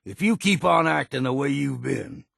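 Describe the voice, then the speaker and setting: An actor playing a gruff, weary old hunter in a video game, blunt and proud, with a gravelly, husky voice and a folksy, rustic drawl. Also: male voice, mid-range voice, expressive, moderate pace, a bit bright, dry recording, clean studio sound, full character voice. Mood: stern, resentful. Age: older. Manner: folksy, plain-spoken drawl with rustic grammar. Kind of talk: video game character dialogue.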